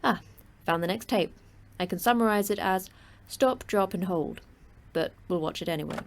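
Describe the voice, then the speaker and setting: A woman's voice: mid-range voice, normal speed, gravelly, close to an everyday voice. A woman playing the terse, dry-witted manager of a secret facility in a sci-fi horror audio drama.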